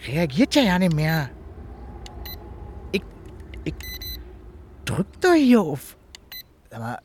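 A man's voice: a little high, huge pitch swings, slow, a little mellow, slight background noise, heavily acted. An actor playing a nosy, accusing village man in a radio play, speaking colloquially and confrontationally with a Berlin-Brandenburg accent. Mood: angry. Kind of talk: naturalistic German radio play acting.

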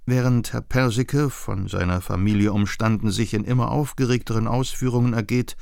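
Low, gravelly male narrator. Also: fairly even intonation, normal speed, darkish tone, dry recording, studio quality. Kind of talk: literary narration in a radio play.

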